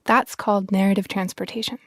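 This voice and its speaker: A woman, a podcast guest explaining science in an expressive, articulate, conversational way. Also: mid-range voice, brisk, no echo, studio quality.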